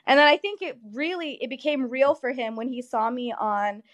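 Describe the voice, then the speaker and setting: A woman's voice: high-pitched, lively intonation, moderate pace, muffled recording. A young adult woman from Ohio, a comedian guest on a talk show, telling stories in a chatty, self-deprecating way. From United States (Ohio). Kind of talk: casual interview chat.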